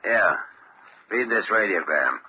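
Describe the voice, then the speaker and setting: A muffled, noisy old radio recording frames a gruff big-city police commissioner. He is businesslike and authoritative, with lively swings of pitch as he runs the show in a crime drama. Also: slow. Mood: brisk, commanding.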